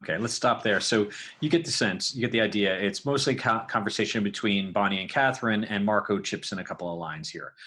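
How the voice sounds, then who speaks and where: Give the voice of a man walking through an informal tutorial. It sits fairly low, with a bright, forward tone over a rough, husky grain. He talks haltingly, thinking aloud as he works. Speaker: podcast creator demonstrating sound design.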